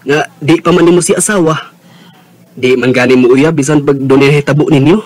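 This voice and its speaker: A man's voice: a little high, fairly even intonation, brisk, a little mellow, close to an everyday voice. A male actor with a Visayan Filipino accent playing a blunt, nosy, teasing young buddy in a radio drama. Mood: teasing, incredulous. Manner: blunt, exclamatory. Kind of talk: Bisaya radio drama acting.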